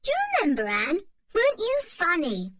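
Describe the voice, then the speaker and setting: A woman's voice: high voice, wildly swooping pitch, moderate pace, a bit bright, no echo, clean studio sound, voice pushed up, exaggerated voice. A woman reading aloud, voicing a teasing, giggly young boy in a children's storybook.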